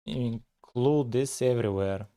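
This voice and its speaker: Male streamer, laid-back, mid-pitched, soft dark voice. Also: measured pace. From Bulgaria. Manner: relaxed, thinking aloud with frequent "uh". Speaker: live-streaming game developer. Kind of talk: casual live coding stream with chat.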